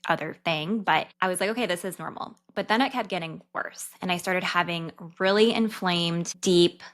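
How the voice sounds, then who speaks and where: A woman's voice: on the low side, fairly bright tone. A woman in her thirties hosting a podcast, talking candidly and casually about a personal experience. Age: 30s. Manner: candid, chatty, fast-talking. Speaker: podcast host and dietitian.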